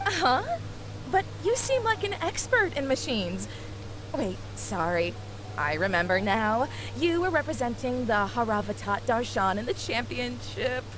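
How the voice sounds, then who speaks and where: A woman's voice: on the high side, big pitch swings, measured pace, background noise. A woman voicing an eager, tactless young female student, chirpy and blunt, in an anime game.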